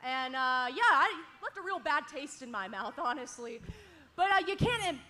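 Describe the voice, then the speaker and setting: A woman's voice: sits high, lively intonation, moderate pace, bright, forceful tone. A woman hosting a talk segment at a wrestling show in an indoor arena, sarcastic and needling toward her guest.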